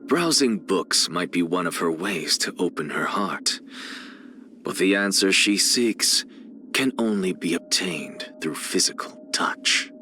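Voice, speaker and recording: An arrogant male scholar from a video game, lecturing with cool contempt. His voice sits fairly low yet has a crisp, forceful edge, and it swings theatrically in pitch as he takes his time over each phrase. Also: expressive, faint music behind, exaggerated voice. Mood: measured, reflective. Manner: haughty, deliberate, theatrical, lecturing.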